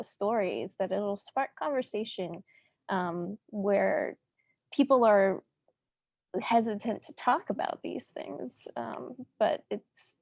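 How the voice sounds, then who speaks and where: A woman of medium pitch gives conversational interview answers at a slow pace. She is measured and pauses between short phrases, and the sound is dry. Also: gravelly, a little mellow, dry recording. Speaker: filmmaker being interviewed.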